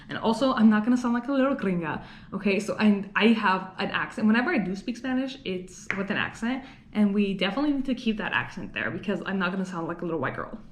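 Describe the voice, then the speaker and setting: A woman's voice: medium pitch, reverberant, slight background noise. A young woman from Los Angeles talking casually to her camera in a rambling, chatty vlog, full of fillers and little laughs. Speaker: vlogger. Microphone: camera mic.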